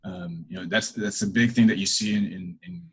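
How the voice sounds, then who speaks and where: A man's voice: on the low side, sing-song, a little fast, vocal fry, husky. A middle-aged man answering interview questions in a hesitant, casual way, full of fillers and restarts. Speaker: interview guest, corporate professional.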